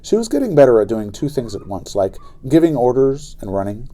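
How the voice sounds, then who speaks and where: A man narrating a children's story aloud in an expressive, dramatic way. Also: mid-range voice, a little fast. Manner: animated, dramatic delivery.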